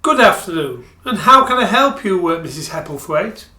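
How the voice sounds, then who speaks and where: Man acting a sober, high-voiced radio play character. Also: very animated, normal speed, sharp tone, heavily acted. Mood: reassuring. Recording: echoey room.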